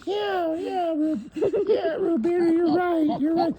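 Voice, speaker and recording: A man's voice: very high voice, steady tone, slow, crowd noise. A man voicing an eager little puppet critic who chatters and repeats himself in a folksy improvised puppet show. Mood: dismayed, scolding.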